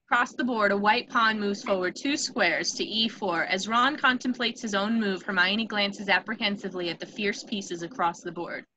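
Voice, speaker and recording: Bright-voiced woman narrating a script. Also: mid-range voice, moderate pace, hardly any pauses, a little hiss or hum, poor audio. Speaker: narrator reading stage directions.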